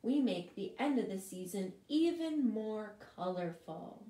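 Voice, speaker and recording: A woman voicing gentle late-summer flowers in a sweet, high, unhurried voice while reading a storybook. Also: expressive, natural voice. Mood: dreamy. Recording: roomy, distant mic.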